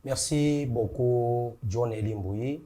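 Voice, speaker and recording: A man, a talk show guest answering in a slow and solemn way. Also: mid-range voice, warm tone. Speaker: studio guest. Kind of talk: political talk show discussion.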